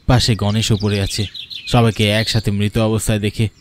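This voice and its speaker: A man's voice: on the low side, flat delivery, moderate pace, slight background noise. A man narrating a scripted horror cartoon story in a dramatic storytelling style.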